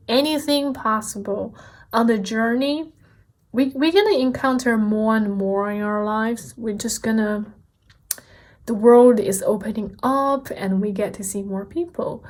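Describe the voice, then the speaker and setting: A woman whose first language is not English, giving a gentle, encouraging tarot card reading and repeating key phrases. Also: a little high. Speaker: tarot reader.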